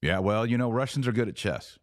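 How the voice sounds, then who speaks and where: A man's voice: fairly low pitch, animated, a little fast, no room sound. A man hosting a podcast in casual religious conversation. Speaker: podcast host.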